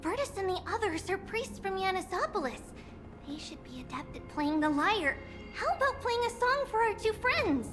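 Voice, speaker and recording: A high-voiced, graceful myth teller. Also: female voice, big pitch swings, moderate pace. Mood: musing, bright. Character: graceful woman storyteller reciting an ancient myth. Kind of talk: poetic recitation in a fantasy video game. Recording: game music loud behind the voice.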